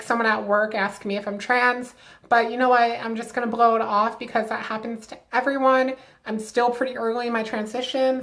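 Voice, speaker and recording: Young woman, slightly high-pitched, casual vlog chat, filler-heavy, upbeat advice. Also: fairly bright tone. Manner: chatty, lots of "like" fillers, upbeat advice-giving. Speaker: vlogger.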